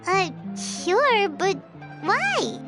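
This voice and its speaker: Squeaky, very high childlike fairy companion. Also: female voice, big pitch swings, drawn-out words, exaggerated voice. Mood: curious, startled. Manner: exclaiming, refers to self in third person. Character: tiny excitable childlike flying fairy companion, female. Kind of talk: video game voice acting. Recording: music bed behind voice.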